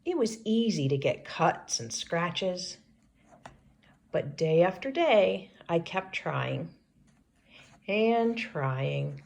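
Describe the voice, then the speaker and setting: Female storybook reader for children, lowish pitch, very varied intonation. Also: very animated, vocal fry, darkish tone. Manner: pauses to ask children questions. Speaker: teacher reading a picture book aloud to children. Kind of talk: expressive storybook read-aloud.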